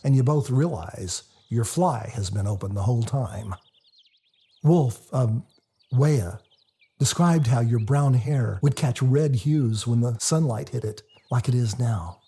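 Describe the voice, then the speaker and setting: A man reading a novel aloud as a first-person dramatic narrator, in a confessional, expressive storytelling manner. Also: medium pitch, creaky, husky. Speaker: author reading their own novel. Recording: music under the voice. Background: music.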